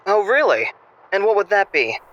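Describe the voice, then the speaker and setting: A young man playing a flippant, self-absorbed exchange student, evasive and excitable, in an audio drama phone call. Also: high voice, normal speed. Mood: awkward, evasive. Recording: noisy phone-call style recording.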